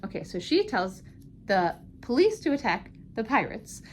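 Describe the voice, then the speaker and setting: A woman with a very high voice tells a puppet-show story for children. Her delivery is lively and swooping, playful and informal, explaining things simply. There is some room noise behind her. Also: normal speed.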